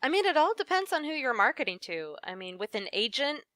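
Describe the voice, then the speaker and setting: A young woman, a voice actress, answering interview questions in a lively conversational way with frequent "um" and "you know". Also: sits high, fairly quick, no room sound, clean studio sound. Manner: animated, many fillers like "um" and "you know". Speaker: voice actress guest.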